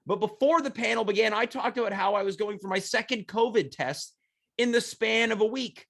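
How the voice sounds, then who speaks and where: High-pitched guy hosting a goofy chat show, stuffy and congested, rambling jokes. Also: brisk, vocal fry, a bit bright. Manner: playful, rambling, self-mocking jokes. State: congested with a cold. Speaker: talk show host. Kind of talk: casual comedic chat.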